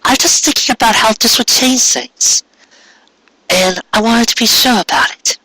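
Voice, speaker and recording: A man voicing a tender, bashful married female pony in a storybook reading, with a breathy, halting delivery. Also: very high voice, pushed and bright, dry recording, pitched above the performer's own voice, exaggerated voice, low-quality recording. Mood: shy, earnest. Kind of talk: fan fiction storybook reading.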